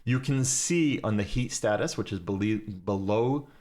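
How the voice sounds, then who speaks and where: A man's voice: lowish voice, moderate pace, a little mellow. A man teaching a class during a live game, giving step-by-step directions to his fleet over voice chat.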